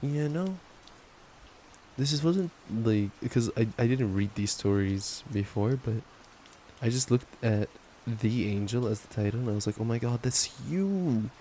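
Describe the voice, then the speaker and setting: Young guy doing cuddly boyfriend asmr, soft dark tone, casual and playful, bit of rain in the background. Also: medium pitch, unhurried. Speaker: ASMR roleplayer playing a boyfriend. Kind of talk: intimate ASMR boyfriend roleplay with bedtime story reading. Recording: steady rain noise. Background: rain sounds.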